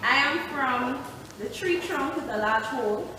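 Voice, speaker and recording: A woman reading her own list poem aloud slowly and deliberately. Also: high-pitched, animated. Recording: echoey room, far from the mic, loud noise.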